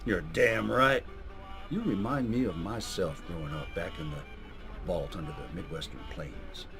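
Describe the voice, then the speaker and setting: A man playing a gruff, gung-ho patriotic coach in a video game, with an American accent and bombastic, speechifying delivery. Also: low-pitched, moderate pace, fairly bright tone. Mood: approving, gruff, familiar. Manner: bombastic, drill-sergeant speechifying. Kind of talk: scripted video game character acting. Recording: music behind the voice.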